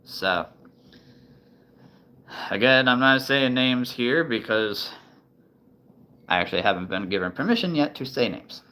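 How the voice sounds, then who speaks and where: A man's voice: medium pitch, animated, measured pace, long pauses, sharp tone. A man in his thirties chatting casually to the camera, thinking aloud with many ums and restarts. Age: 30s. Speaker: vlogger.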